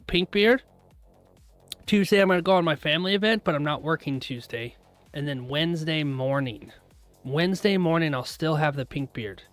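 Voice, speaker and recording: A man's voice: a little high, fairly bright tone, no room sound. A man hosting a live trading stream, chatting casually with his viewers, laughing often and rambling through asides. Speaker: trading livestream host.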